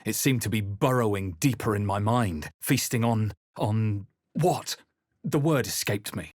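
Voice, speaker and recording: A grave adventurer recounts dark fantasy memories in a video game. His voice is very low and husky. The delivery is introspective and deliberate, recorded completely dry. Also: no echo. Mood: brooding. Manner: grave, deliberate, literary storytelling. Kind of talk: scripted first-person game narration.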